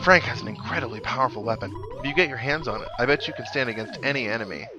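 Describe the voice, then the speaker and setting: A man's voice: medium pitch, racing, muffled recording, close to an everyday voice. A man voicing cocky young street gang punks from a video game, reading their taunting lines with swagger. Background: video game music.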